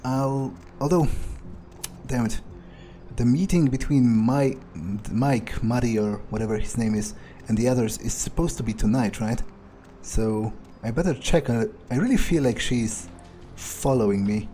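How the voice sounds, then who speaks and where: Mellow, musing man over faint music. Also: medium pitch, normal speed. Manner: musing, hesitant, thinking aloud. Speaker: game player role-playing his character. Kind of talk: in-character let's play commentary.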